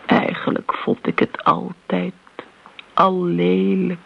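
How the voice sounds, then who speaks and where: An actress playing an eccentric, lonely older lady customer in a radio drama, rambling genteelly in a breathy, wistful voice. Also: lowish voice, monotone, slow pace, full character voice. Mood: confiding. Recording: muffled, noisy old radio play recording.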